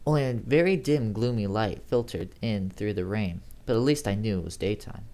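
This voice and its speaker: Amateur horror narrator, medium-pitched voice, dramatic first-person storytelling. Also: moderate pace, mellow.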